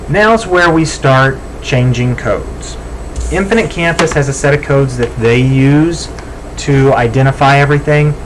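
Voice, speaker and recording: A man's voice: mid-range voice, rough recording. American man giving instructions over background noise. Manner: informal, step-by-step. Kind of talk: software walk-through tutorial.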